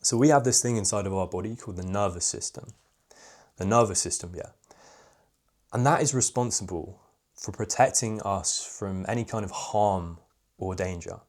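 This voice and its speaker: A young man talks straight to camera about social confidence, in a candid, reflective way. His voice sits a bit low and fairly monotone, loosened by frequent "like" fillers. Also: moderate pace. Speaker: social skills coach. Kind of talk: casual talking-head advice video.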